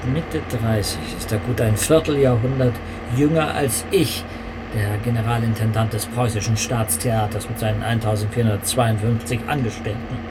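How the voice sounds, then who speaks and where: A man's voice: medium pitch, very noisy, lightly acted. A man as a scornful aging conductor. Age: 60s.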